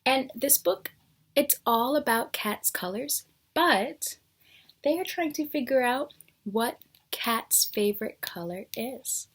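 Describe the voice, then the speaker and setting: A woman's voice: a little high, animated, slow, slightly rough. A woman teacher reading a picture book aloud to young children, explaining things simply and asking them questions.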